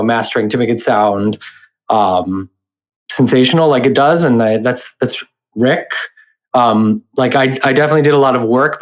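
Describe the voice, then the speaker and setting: A man's voice: medium pitch, low-quality recording. A man speaking as an interview guest, explaining his work casually and informally, as if thinking aloud. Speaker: interview guest, director and audio editor.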